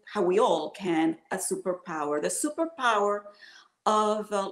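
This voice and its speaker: A woman author from Puerto Rico answering on a video-call panel, hesitant and thoughtful. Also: medium pitch. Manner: hesitant, thoughtful, many fillers. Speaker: author and illustrator. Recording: roomy echo on video call.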